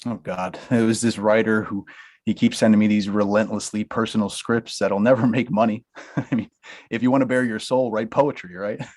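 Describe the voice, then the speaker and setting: A man's voice: fairly low pitch, steady tone, normal speed, darkish tone, close to an everyday voice. A man reading the part of a blunt, money-minded talent manager in a comedy table read. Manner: brusque, dismissive, laughs through lines.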